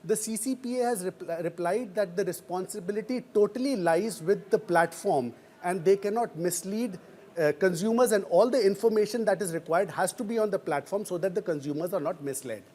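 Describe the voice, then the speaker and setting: A man with an Indian accent explaining a story as a news reporter in a hesitant, halting way. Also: high-pitched, a little mellow. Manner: hesitant, halting delivery with frequent pauses.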